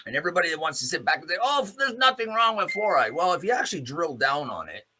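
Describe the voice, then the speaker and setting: A man's voice: on the high side, big pitch swings, quick pace, sharp tone, slight background noise. A man hosting a news commentary show, ranting off the cuff in a blunt, sardonic, profane manner. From Canada. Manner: blunt, sardonic, profane, with pointed rhetorical questions. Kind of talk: opinionated rant, spoken off the cuff.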